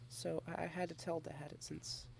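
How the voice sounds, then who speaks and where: Shy, half-whispering young woman with vocal fry. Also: sits low, flat delivery, slight background noise, low-quality recording. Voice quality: soft, often half-whispered. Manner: shy, rambling and halting, with many repeated starts. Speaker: ASMR roleplay creator. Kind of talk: ASMR girlfriend roleplay.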